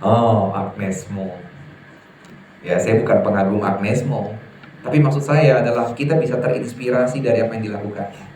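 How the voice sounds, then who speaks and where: A man preaching a sermon to a congregation, lively and emphatic, often putting questions to his listeners. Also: lowish voice, moderate pace, slight background noise. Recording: echoey hall from far off, faint ambience.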